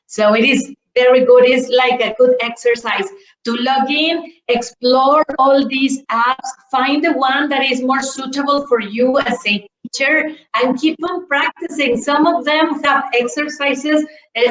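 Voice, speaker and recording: A woman's voice: high voice, a bit bright, reverberant, low-quality recording. A woman from Mexico who is not an English speaker, an English teacher explaining things at an online conference with fillers and repeated words, heard over a video call.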